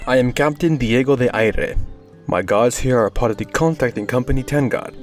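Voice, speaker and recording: A man playing a roguish, courteous young orc guard captain in a fantasy audio drama, smooth and confident. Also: medium pitch, moderate pace, soft background music, close to an everyday voice. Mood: charming.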